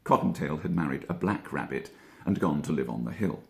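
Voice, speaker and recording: A man narrates a children's storybook with a very lively, varied delivery. He uses deliberate dramatic pauses, and the room adds a noticeable echo. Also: rumbling low, creaky. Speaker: actor reading a children's story. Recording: echoey room.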